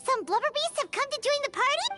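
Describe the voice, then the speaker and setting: A woman's voice: very high voice, animated, quick pace, bright, forceful tone, full character voice. A woman voicing a small, childlike companion creature in a video game, chirpy and curious. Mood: excited, curious. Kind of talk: video game character acting. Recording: game music behind the voice.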